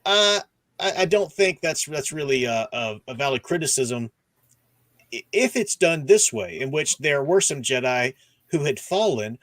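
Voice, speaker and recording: A man's voice: fairly high pitch, sing-song, a little slow, a bit bright, dry recording. Man explaining things, close dry sound. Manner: explanatory, peppers talk with "you know". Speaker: host of a book discussion stream. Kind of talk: casual livestream discussion of comics and radio dramas.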